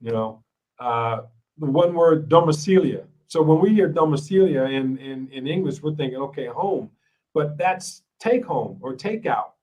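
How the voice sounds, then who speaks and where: A man's voice: fairly high pitch, lively intonation, normal speed, darkish tone, rough recording. A man from Ohio hosting a podcast, chatting casually, laughing often and restarting his sentences. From Ohio, United States. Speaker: podcast host. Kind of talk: casual interview chat.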